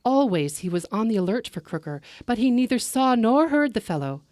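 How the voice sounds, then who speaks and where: A woman telling a story live, narrating in an expressive, measured way with dramatic delivery. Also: a little high, no room sound.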